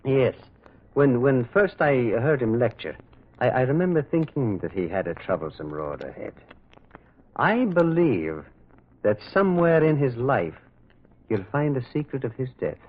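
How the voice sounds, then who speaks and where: An actor playing a gentle, inquisitive priest detective in an old radio drama, hesitant and pondering, often repeating words. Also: male voice, medium pitch, big pitch swings, a little slow. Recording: hissy narrow-band vintage radio recording.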